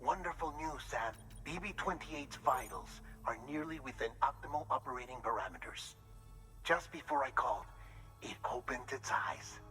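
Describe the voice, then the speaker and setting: Game role: precise, caring male technician, bright voice, formal briefings, light music bed. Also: medium pitch, expressive, normal speed, low-quality recording. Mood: tender wonder. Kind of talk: video game radio briefing.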